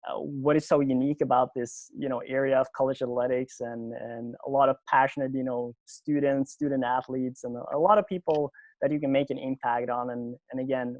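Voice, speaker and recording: A man hosting a podcast interview over a video call, speaking English as a second language, halting, with many fillers and restarts. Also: mid-range voice, a little mellow, no echo, rough recording. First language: not English. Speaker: podcast host.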